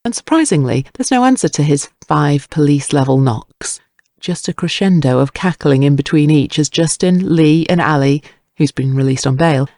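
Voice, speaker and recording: Creaky, dry-recorded dramatic narrator. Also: medium pitch, a little mellow, no room sound.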